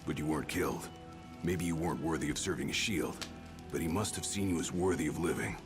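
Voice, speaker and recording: Brash young bodyguard, very low voice, blunt casual talk, music under. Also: male voice, fairly quick. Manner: blunt, cocky, casual speech. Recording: game audio with music.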